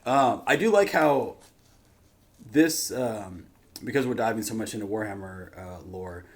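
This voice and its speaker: A man hosting a reaction show with casual, conversational commentary, often trailing off quieter. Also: fairly low pitch, animated, unhurried.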